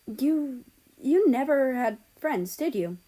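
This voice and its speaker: A woman's voice: sits high, animated, slow pace, dark tone, pitched above the performer's own voice, heavily acted. A woman voicing a cheerful, eager young male character in a storybook reading.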